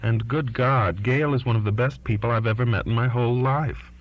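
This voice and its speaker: A man's voice: mid-range voice, steady tone, fairly quick, pitched above the performer's own voice, natural voice. A man reading as an earnest, defiant twenty-year-old daughter writing to her father, pleading and insistent, on a lo-fi recording. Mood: frank, insistent. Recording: dull lo-fi recording.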